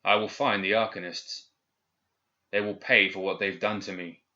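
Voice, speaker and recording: A man's voice: on the low side, fairly even intonation, moderate pace, pushed and bright. A man voicing a bitter, guarded, vengeful man in an audiobook, terse and grimly determined. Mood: bitter resolve. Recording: slightly dull, band-limited recording.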